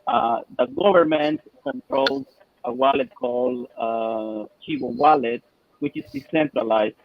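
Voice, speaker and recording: A man's voice: a little high, sing-song, a little slow, gravelly, highs cut off, a little hiss or hum. A man from El Salvador on a live team stream, speaking hesitantly and repeating his words. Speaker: project team member.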